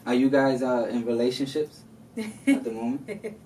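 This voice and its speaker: A man with a Dominican accent hosting a casual podcast interview, easygoing and quick to laugh, recorded on a distant mic. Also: mid-range voice, sing-song, vocal fry, dark tone, slight background noise. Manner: easygoing, laughs often, fillers. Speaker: podcast host.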